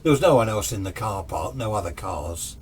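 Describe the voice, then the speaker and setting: A man shares a personal anecdote off the cuff. He rambles conversationally and quotes both sides of remembered exchanges. There is some steady noise behind him. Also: lowish voice, fairly quick. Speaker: storyteller recounting personal radio anecdotes. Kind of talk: casual unscripted spoken storytelling.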